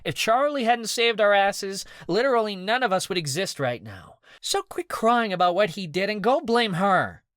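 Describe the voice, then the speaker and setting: A man's voice: high-pitched, sing-song, brisk, hardly any pauses, a bit bright, dry recording, studio quality, pitched above the performer's own voice. A man voicing a brash, sulky young man who grumbles and complains. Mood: complaining. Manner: whiny, grumbling.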